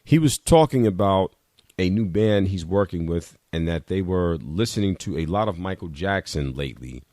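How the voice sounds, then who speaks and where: A man with a low voice reads a first-person short story aloud. The sound is dry, with little room around the voice. He delivers it as a literary reading. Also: lively intonation, normal speed, a little mellow, dry recording. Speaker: reader of a short piece at a memorial reading.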